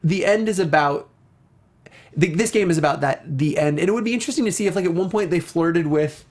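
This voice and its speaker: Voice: mid-range voice, brisk. Casting: host for a casual review show, mid-range voice, gushes and breaks off mid-thought. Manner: enthusiastic, gushing.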